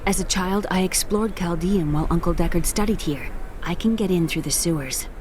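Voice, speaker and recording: A woman's voice: lowish voice, fairly quick, background noise, lightly acted. A woman playing an earnest young scholar. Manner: earnest and informative. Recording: game audio with background ambience.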